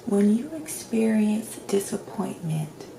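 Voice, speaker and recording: A woman doing a soft-spoken ASMR roleplay as a calm doctor, asking questions gently in a hushed, near-whispered voice with long pauses. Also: mid-range voice, a crowd in the background. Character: calm doctor giving a personality assessment. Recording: roomy echo, clear background noise.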